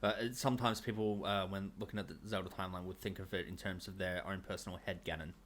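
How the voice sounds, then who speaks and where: A man with a lowish voice hosts a relaxed, informal interview. He questions and prods in a dry, teasing manner, speaking into a close microphone in a small room. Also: fast, slight background noise. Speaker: interviewer, host. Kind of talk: casual conversational interview. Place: small indoor streaming room. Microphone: close studio microphone.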